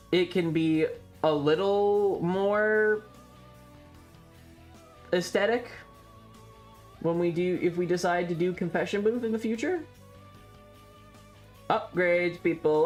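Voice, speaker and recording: A streamer talks casually at a middling pitch over background music. The delivery rambles along with wry, jokey asides and some mild crudeness, as in a relaxed livestream chat.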